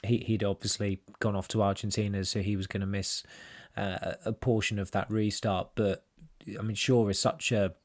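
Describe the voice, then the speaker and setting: An English man with a hoarse, recovering voice discussing football on a podcast, often stumbling and repeating words. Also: on the low side, little pitch movement, normal speed. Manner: hesitant, repeats words while speaking. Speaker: football journalist, podcast panelist.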